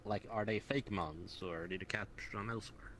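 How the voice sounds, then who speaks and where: A man's voice: on the low side, moderate pace, a bit bright. A man talking casually in character in an online role-play game. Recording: slightly noisy online game voice chat.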